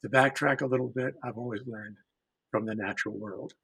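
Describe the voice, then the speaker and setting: An older man from the United States, an author and storyteller, giving a reflective panel talk in a measured way with emphatic repetition. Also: mid-range voice, fairly even intonation, gravelly, sharp tone, breathy edge, dry recording, low-quality recording.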